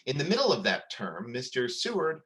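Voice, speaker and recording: A man with a bright, forceful voice at an average pitch narrates history between dramatic readings. His delivery is formal and measured, like a presenter telling a story. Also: huge pitch swings.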